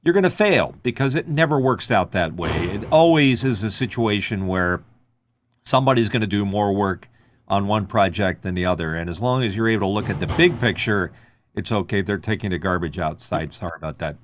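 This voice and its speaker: A man's voice: on the low side, animated, a little fast, slight background noise. A man on an online panel, giving reflective advice in a casual, conversational way. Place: online video call.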